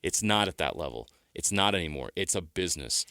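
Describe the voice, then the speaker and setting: Man, middle-aged, slightly deep, sports radio opinion, emphatic repeated phrasing, dry close sound. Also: animated, fairly quick, sharp tone, no echo. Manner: emphatic, building points through repeated phrasing.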